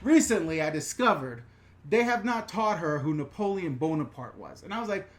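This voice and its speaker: A middle-aged man from New York with a New York accent, hosting a casual live Q&A, talking in an animated, profane, rambling way with lots of digressions. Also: on the high side, normal speed. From New York/New Jersey. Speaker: channel host answering live chat questions.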